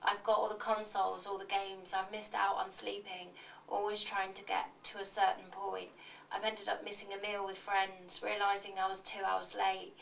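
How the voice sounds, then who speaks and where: Echoey, creaky-voiced, monotone woman. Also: medium pitch, moderate pace. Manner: awkward, deadpan. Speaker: reader of fans' confessions. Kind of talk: reading a scripted monologue of fan confessions. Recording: echoey room, distant mic, faint noise.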